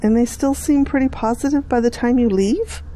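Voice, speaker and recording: A woman playing a patient, devout middle-aged mother, gently encouraging in an audio drama. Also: a little high, moderate pace, close to an everyday voice. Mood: gently questioning.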